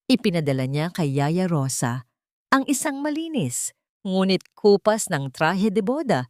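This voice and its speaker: A woman's voice: lowish voice, darkish tone, dry recording, studio quality. A woman narrator reading a dramatic scripted audio story.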